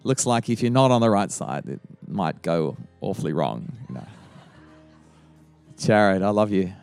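A man's voice: low-pitched, expressive, a little slow, vocal fry, darkish tone, clean tone, soft background music. A middle-aged man with an Australian accent, a guest pastor opening his sermon with playful teasing and frequent laughter.

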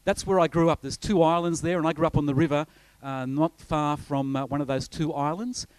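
A man's voice: fairly high pitch, steady tone, a little fast, clear, resonant tone, no room sound. A middle-aged Australian man, a visiting pastor, giving a rambling, chatty introduction to a church congregation, with many fillers and restarts. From north coast of New South Wales, Australia. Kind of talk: informal sermon introduction with slides.

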